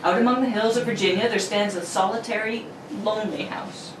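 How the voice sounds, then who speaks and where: A woman's voice: medium pitch, steady tone, fairly quick. A woman narrating an amateur radio drama from a script, playfully and dramatically. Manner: playful, prone to giggling and ad-libs. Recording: roomy, distant mic with faint noise.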